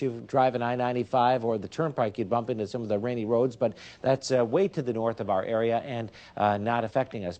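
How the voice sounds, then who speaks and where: A man's voice: mid-range voice, a little mellow. A man giving a loose, ad-libbed local TV weather forecast from a news studio, full of fillers. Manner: loose, chatty delivery full of "uh" and "of course". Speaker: TV meteorologist.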